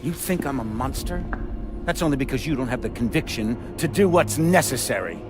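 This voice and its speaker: An actor playing a ruthless, self-righteous corporate warlord villain in a cinematic video game, with a menacing manner. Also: male voice, medium pitch, expressive, a little fast, music in the background, lightly acted. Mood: cold conviction. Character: ruthless older male corporate warlord villain, convinced he saves the world.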